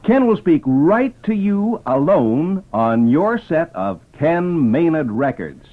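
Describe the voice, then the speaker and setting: A man announcing and pitching to children in 1950s radio style, with an emphatic, enthusiastic salesman delivery. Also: sing-song, slow. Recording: muffled old radio transcription.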